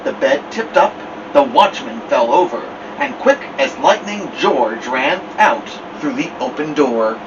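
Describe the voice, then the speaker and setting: A man reading a children's picture book aloud as a storytime host, theatrically, with drawn-out words and dramatic pauses. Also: medium pitch, sing-song. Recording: distant mic, dull sound, noisy background hum.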